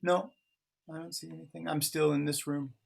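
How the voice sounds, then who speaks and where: A man's voice: a little high, flat delivery, moderate pace, creaky. A man co-hosting a session, chatting casually and thinking aloud in loose, easygoing phrases. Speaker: workshop co-host and facilitator. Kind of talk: casual chat, planning. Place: online video call.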